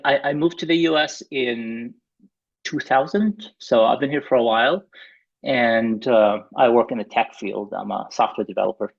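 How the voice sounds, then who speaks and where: A man's voice: medium pitch, creaky, lo-fi sound. A man from Lebanon giving a webinar talk over a video call. He speaks earnestly, with many hesitations and restarts. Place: room at home. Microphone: computer mic on a video call.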